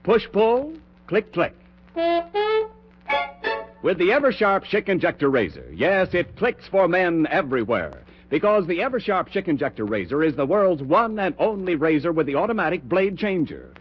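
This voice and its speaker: A man's voice: sits high, very animated, moderate pace, faint music behind. A man reading a 1940s radio commercial as an announcer, with punchy, emphatic salesmanship. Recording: muffled 1940s radio, slightly husky sound.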